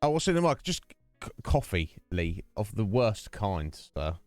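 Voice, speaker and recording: A man with a lowish voice chats loosely to his stream audience. He rambles and restarts sentences, close to a dry desk mic. Soft music sits faintly underneath. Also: sing-song, a little slow, no room sound. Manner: rambling and chatty, trailing off and restarting. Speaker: livestream host. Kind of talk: casual livestream chat to viewers. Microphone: desk microphone with noise gate.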